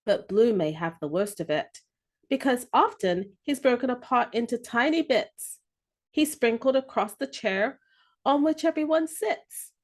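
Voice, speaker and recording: A woman reading a children's story aloud with expressive, deliberate phrasing. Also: on the high side.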